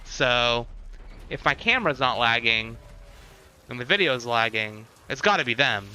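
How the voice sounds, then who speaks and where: A man's voice: medium pitch, animated, measured pace, sharp tone, smooth, low-quality recording. A man chatting casually to viewers as a livestreamer, giving commentary with sarcastic, unimpressed asides.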